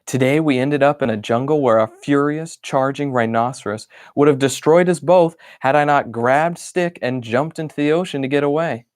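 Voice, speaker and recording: Un lapin en peluche grognon et exaspéré, joué par un homme dans un livre d'images lu à voix haute, la voix montant quand il se plaint, enregistrée de près dans un son sec, sans écho. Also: male voice, medium pitch, normal speed, few pauses, no echo, clean studio sound, close to an everyday voice. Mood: worried. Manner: exasperated complaining diary reading. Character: grumpy put-upon stuffed bunny, male.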